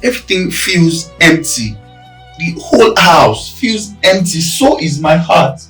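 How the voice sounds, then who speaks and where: An actor playing a cheating husband, pleading and placating in a melodramatic screen drama. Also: male voice, a little high, big pitch swings, a little slow, a bit bright, roomy sound, faint music behind. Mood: remorseful, pleading. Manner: pleading, beseeching.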